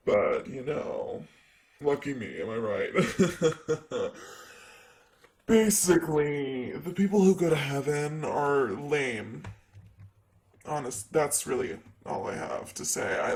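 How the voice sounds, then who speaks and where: Sassy demon secretary played by a man: higher-pitched male voice, unhurried, jaded, sarcastic, rambling, for ASMR roleplay. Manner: sarcastic, breezy, rambling with casual filler words.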